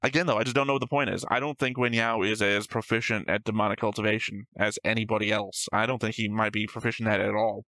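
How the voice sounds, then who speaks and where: A man's voice: on the low side, steady tone, quick pace, hardly any pauses, bright, forceful tone, no echo. A man co-hosting a reaction show, chatting casually and analytically, thinking aloud and swearing freely. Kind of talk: casual analytical chat about an anime episode.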